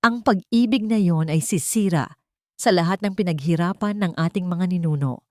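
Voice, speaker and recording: Woman acting cold, proud, low-voiced older matriarch. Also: normal speed, no room sound, studio quality, lightly acted. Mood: unyielding. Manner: calm, hard, emotionless.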